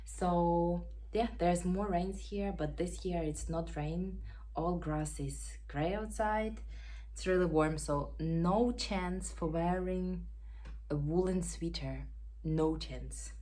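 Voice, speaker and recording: A woman with a Ukrainian accent hosting a knitting podcast from home, chatting casually and pausing to search for words in English. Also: lowish voice, dark tone, poor audio. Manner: unhurried, searching for words, non-native grammar. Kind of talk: casual show-and-tell chat. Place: home in Denmark. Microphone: camera.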